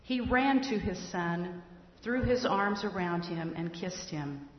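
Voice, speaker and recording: A woman reading a scripture passage aloud in church, slow and measured. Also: on the low side. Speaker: scripture reader. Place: church sanctuary. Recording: echoey hall, dull lo-fi sound.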